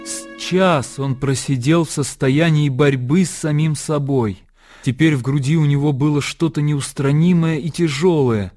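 A man narrating a classic story for a radio play in an expressive literary style. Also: fairly high pitch, unhurried, faint music behind.

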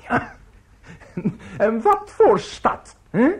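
A man's voice: very high-pitched, very animated, drawn-out words, husky, heavily acted. An actor playing a bitter middle-aged opera teacher in a radio drama, theatrical and maudlin, stammering and repeating his words. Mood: bitter, agitated.

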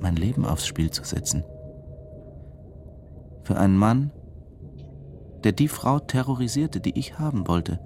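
An actor playing a controlled, brooding diving instructor who narrates a radio drama in measured, deliberate phrases. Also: male voice, sits low. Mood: grim, brooding. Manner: measured, deliberate, literary phrasing in short units. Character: male diving instructor narrating his own perilous dive, controlled and brooding. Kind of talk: scripted first-person radio drama narration.

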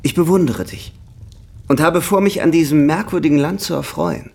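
An actor playing a cheerful, carefree bohemian artist of almost thirty in a German radio drama, buoyant, teasing and husky. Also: male voice, fairly high pitch, normal speed, a little mellow. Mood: cheerful, fond.

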